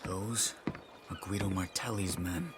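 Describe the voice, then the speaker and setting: A man acting an earnest, guarded fighter in a video game cutscene, plain-spoken and halting. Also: low voice, background noise. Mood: wary. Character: earnest, guarded male fighter, weary old friend.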